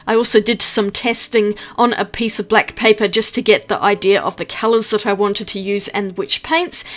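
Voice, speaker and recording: A woman from New Zealand, an art vlogger narrating a voice-over with a chatty, upbeat manner, explaining each step. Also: a little high.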